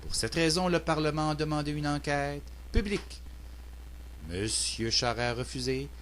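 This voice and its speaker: A man's voice: on the high side, normal speed, fairly bright tone, a little hiss or hum. A man with a Québécois French accent delivering a prepared political opinion monologue in a formal, earnest, declamatory manner. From Quebec, Canada. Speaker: citizen political commentator.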